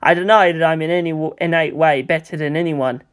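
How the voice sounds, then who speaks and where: A man's voice: on the high side, steady tone, a little fast, smooth, natural voice. A man voicing a reluctant, earnest and defiant teenage boy hero in a dramatised fan fiction reading, speaking with intensity.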